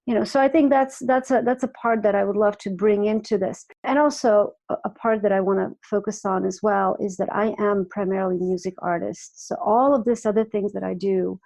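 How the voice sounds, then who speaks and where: A woman in her forties, originally from Croatia, chatting as a podcast guest. Her voice has a gravelly edge and she talks warmly, restarting sentences as she goes. She sits at medium pitch for a woman. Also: fairly quick, few pauses. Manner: warm, chatty, frequent restarts and laughs. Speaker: guest, music artist and life coach. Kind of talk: casual podcast interview.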